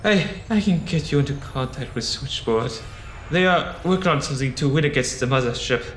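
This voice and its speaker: A man's voice: fairly high pitch, animated, a little fast, fairly bright tone. A man playing an anxious, stammering scientist under accusation in an audio drama. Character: nervous accused male scientist, pleading and anxious. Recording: echoey room, faint ambient noise. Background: faint ambience.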